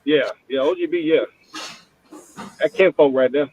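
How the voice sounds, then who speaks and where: A man in his forties talking football casually as a panelist, animated and prone to repeating himself. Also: a little high, moderate pace, husky, no room sound, highs cut off. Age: 40s. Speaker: panelist on college football fan talk show. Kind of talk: casual sports chat.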